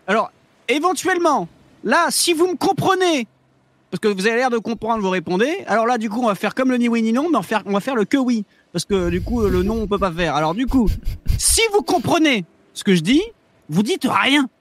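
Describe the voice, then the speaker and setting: A man's voice: very high-pitched, lively intonation, fairly quick, a bit bright, no echo. A man playing in a tabletop roleplaying game, rambling and hesitant, swearing casually and laughing often. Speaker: player. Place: home streaming setup.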